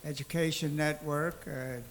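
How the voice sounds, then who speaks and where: Slow, gravelly man in a reverberant hall. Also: on the high side, steady tone, slight background noise. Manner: slow, halting, with many fillers. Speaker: event organizer. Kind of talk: opening remarks at a church event. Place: church hall.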